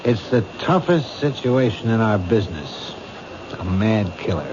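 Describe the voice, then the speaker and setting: An actor playing a world-weary police captain in a 1970s radio drama, brusque, cynical and matter-of-fact. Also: male voice, lowish voice, animated, unhurried. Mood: dismissive. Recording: muffled, noisy old radio broadcast.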